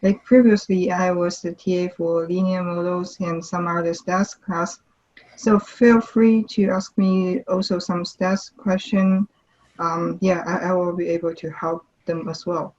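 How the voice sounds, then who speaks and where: A woman's voice: on the low side, level tone, unhurried, darkish tone, highs cut off. A woman graduate teaching assistant introducing herself in an online class, hesitant, with "uh" and "um" fillers. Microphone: video call.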